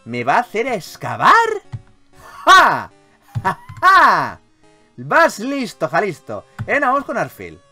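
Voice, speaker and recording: A man with an accent from Spain doing excitable, theatrical game commentary, swearing freely and repeating his phrases. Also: high voice, takes it slowly, soft background music. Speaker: gameplay video host.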